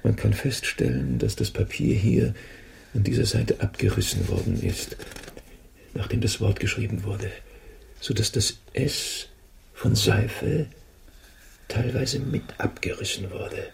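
Keen detective, radio drama, deliberate, probing, musing, very varied intonation, gravelly edge. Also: male voice, on the low side, lively intonation, darkish tone, full character voice. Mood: analytical, probing. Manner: deliberate, musing, deductive, questioning. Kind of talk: German radio drama acting.

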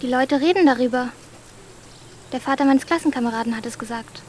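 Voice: high-pitched, brisk, slight background noise, lightly acted. A young performer playing an anxious, earnest thirteen-year-old village girl, worried and insistent, in a radio drama.

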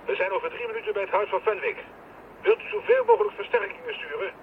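An actor playing an apologetic, dutiful police officer reporting over a two-way radio in a Dutch radio drama. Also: male voice, high-pitched, wildly swooping pitch, fast talker, phone-quality sound. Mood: apologetic, businesslike. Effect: police radio filter. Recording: distant, thin, noisy two-way radio sound.

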